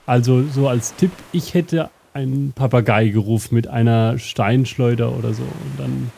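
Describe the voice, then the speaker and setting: A man with a dark, mellow voice at a middle pitch acts as game master of an audio adventure. He rambles in a deadpan, absent-minded way as he hosts. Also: creaky. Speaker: game master and show host.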